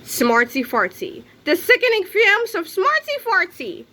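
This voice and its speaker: A woman reading a children's comic book aloud in an animated storytelling style. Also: extremely high pitch, unhurried. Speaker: story reader.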